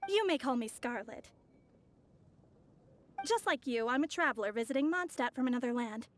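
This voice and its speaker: A woman voicing a polite, composed young female traveler in a video game, courteous, measured and gently inquisitive. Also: a little high, expressive, lightly acted. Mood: polite, friendly.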